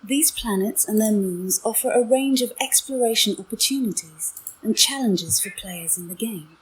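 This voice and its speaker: A synthetic woman's voice with a husky texture, serving as an AI companion in a game. She explains things in a measured, informative way, as if reading from a script. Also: mid-range voice, rough recording. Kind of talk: informative scripted-sounding narration.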